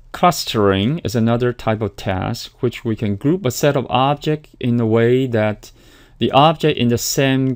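Hesitant lecturing man, smooth low voice. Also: a little mellow. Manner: hesitant, many fillers and restarts. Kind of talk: recorded slide lecture.

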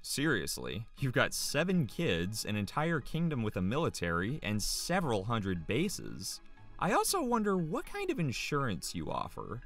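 A man's voice: medium pitch, wildly swooping pitch, normal speed, a bit bright, faint music behind. A man with a casual delivery, reading viewer questions aloud as the show's tech guy.

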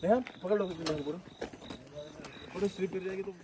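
A man's voice: high-pitched, flat delivery, a little slow, background noise. A man chatting casually outdoors on a hillside, joking and defending himself in a rambling way.

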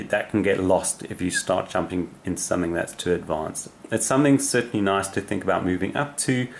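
Male hobbyist presenter, lowish pitch, informal and rambling, some background noise. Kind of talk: casual unscripted demonstration. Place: indoor radio desk, off camera. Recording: clear background noise, radio hiss.

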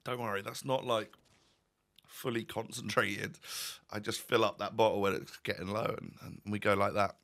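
A croaky man in his thirties talks through his game packs at a desk mic at home. His tone is bright and sharp, and he rambles wryly with plenty of restarts. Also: fairly low pitch, fairly even intonation, normal speed. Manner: chatty, wry, with fillers and restarts. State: croaky, voice going. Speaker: gaming YouTuber. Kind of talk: casual pack-opening commentary. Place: home room, indoors.

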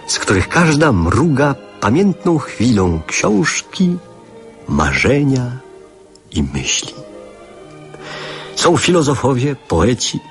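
An actor playing a nostalgic older man who narrates memories of his boyhood in a lyrical, reflective radio drama style. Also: mid-range voice.

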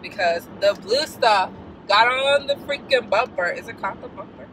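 A woman's voice: fairly high pitch, expressive, normal speed. A woman in her twenties with an American accent, telling stories in a casual, slangy way. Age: 20s. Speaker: vlogger. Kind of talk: casual chat, storytelling. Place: inside a moving car. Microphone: dashboard camera. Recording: noisy moving car, distant mic.

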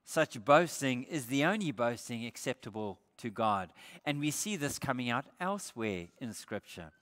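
An older man preaching a sermon as pastor, emphatic, lifting his voice on scripture quotes and dropping low for quiet points. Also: very animated, moderate pace. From Australia. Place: church stage with brick wall. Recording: church hall with long reverb.

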